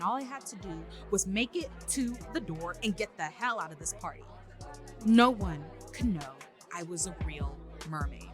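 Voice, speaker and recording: A woman's voice: medium pitch, lightly acted. A woman playing an anxious young partygoer turning into a mermaid, reading a horror story in the first person over music.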